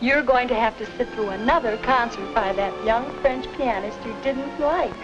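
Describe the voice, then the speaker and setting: An actress as a cheerful, sociable young American girlfriend in a 1950s educational film. Her voice is high and bubbly. The vintage sound is dull, with music clearly behind it. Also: moderate pace, lightly acted. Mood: cheerful, teasing. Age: young adult. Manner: bubbly, friendly. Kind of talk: 1950 educational film acting.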